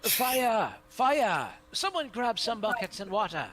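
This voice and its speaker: A man voicing a genteel, dutiful gentleman in a tabletop game, with formal, gracious manners. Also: sits high, very animated, slow, voice pushed up, exaggerated voice. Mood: alarmed. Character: genteel, courteous, dutiful man dressed for a festival.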